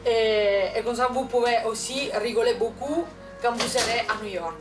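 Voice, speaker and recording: A woman's voice: a little high, normal speed. A woman with an Italian accent giving a farewell tribute to a colleague, with joking asides. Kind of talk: recorded testimonial. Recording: distant mic with music behind.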